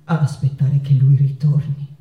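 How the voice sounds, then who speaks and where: A woman playing a passionate, wounded Mexican painter in a theatrical monologue, with halting, drawn-out phrases. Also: extremely low pitch, flat delivery, narrow, tinny audio. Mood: hurt, resigned. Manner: dramatic, halting delivery with drawn-out phrases and repetitions. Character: passionate, wounded Mexican woman painter, wife remembering her unfaithful husband. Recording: roomy echo with guitar music. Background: acoustic guitar music.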